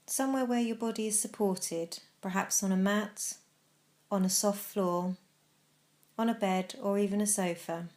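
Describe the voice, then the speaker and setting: A woman narrating a guided mindfulness meditation, giving gentle, measured instructions with pauses. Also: medium pitch.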